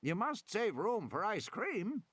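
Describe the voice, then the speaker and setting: Man playing an effusive, lilting old gentleman. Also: sits high, big pitch swings, a little slow, breathy edge, no room sound, voice pushed up, full character voice. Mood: genial, insistent. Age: 70s. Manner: insistent, effusive, lingering on words. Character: stubborn, sentimental old man, genial rich host. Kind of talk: audiobook character acting.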